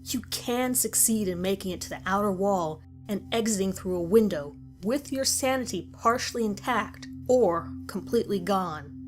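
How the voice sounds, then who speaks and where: A woman's voice: medium pitch, normal speed, hardly any pauses, close to an everyday voice. A woman voicing a grim survivor who gives stern, ominous warnings in a scripted horror story narration. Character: grim woman survivor narrating deadly rules of a monster house. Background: music.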